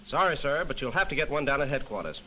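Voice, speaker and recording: Fast, bright, courteous official. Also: male voice, mid-range voice, lively intonation, muffled recording, a little hiss or hum. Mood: brisk, courteous. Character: brisk, courteous male official turning visitors away. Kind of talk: 1940s cartoon acting.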